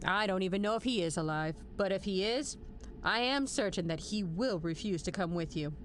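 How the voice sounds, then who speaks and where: A woman's voice: medium pitch, lively intonation, moderate pace, a little hiss or hum, lightly acted. A woman playing a stern military general in an audio drama, formal and authoritative with a dry, wry edge. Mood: grave, deliberate. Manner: formal, authoritative briefing delivery. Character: stern, commanding female military general, measured and dryly wry. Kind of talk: scripted audio drama acting.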